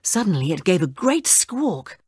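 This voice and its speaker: Slow, animated woman storyteller. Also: lowish voice, breathy edge, no room sound, clean studio sound. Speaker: storybook narrator. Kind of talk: expressive children's read-along narration.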